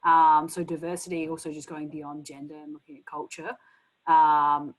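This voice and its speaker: Lowish-voiced woman asking a question. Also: monotone, moderate pace, low-quality recording. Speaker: audience questioner. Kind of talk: casual spoken question.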